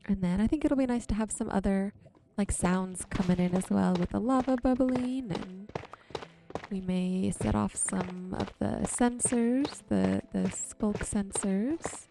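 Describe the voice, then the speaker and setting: A woman giving ASMR-style gameplay commentary, musing to herself in an unhurried way. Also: mid-range voice, creaky, background noise. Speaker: game streamer hosting a relaxation video.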